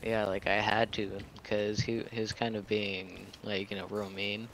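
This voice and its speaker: A low-voiced man with a creaky edge talks casually in game roleplay. His delivery stays flat, with faint noise behind him. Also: measured pace. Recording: game voice chat, noisy background.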